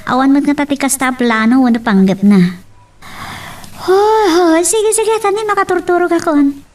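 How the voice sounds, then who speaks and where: A woman playing a young, easily startled woman in an audio love drama, with an Ilocano accent and expressive exclamations. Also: high voice, normal speed, recorded from a distance, lightly acted. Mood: worried. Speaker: voice actor.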